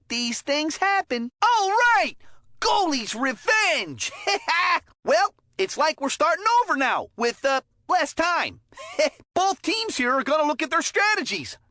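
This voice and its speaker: A woman playing an excitable, folksy, joking cartoon hockey announcer in a video game, calling the play with exclamations. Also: extremely high pitch, no room sound, studio quality, exaggerated voice. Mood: excited, gleeful, then musing, folksy. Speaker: sports commentator. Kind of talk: scripted play-by-play game commentary.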